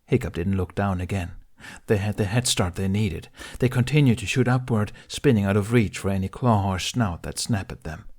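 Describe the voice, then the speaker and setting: A man's voice: on the low side, normal speed, darkish tone. A man narrating a fantasy adventure audiobook in a dramatic, scripted storytelling style.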